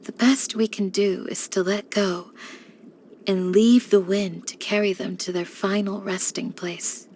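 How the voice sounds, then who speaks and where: A woman voicing a calm, devoted young desert village guardian in a video game, speaking in a composed, measured, gracious way with formal phrasing. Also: medium pitch, a little hiss or hum, natural voice. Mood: solemn. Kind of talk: scripted video game character voice lines.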